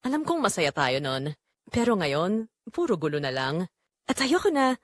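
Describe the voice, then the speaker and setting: A woman's voice: lowish voice, very animated, normal speed, no room sound, studio quality. Woman acting a fed-up, guilty man leaving. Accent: Filipino.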